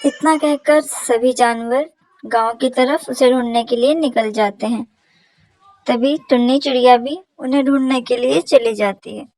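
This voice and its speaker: A woman with a fairly high voice narrates a children's animal fable. She reads from a script as a storyteller, and the sound is fairly dry. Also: fairly even intonation, a little slow, a little mellow, no echo, poor audio.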